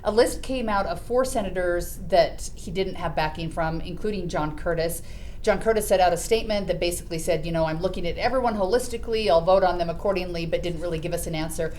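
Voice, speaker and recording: A woman's voice: fast talker, a little mellow. An American woman hosting a casual political chat, talking in a chatty way and telling anecdotes. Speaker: host of a news podcast. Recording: clear background noise with low rumble.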